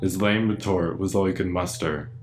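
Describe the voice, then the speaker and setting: A man's voice: sits low, fairly even intonation, normal speed. A man reading scripted story narration as the narrator of a radio play. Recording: faint music behind.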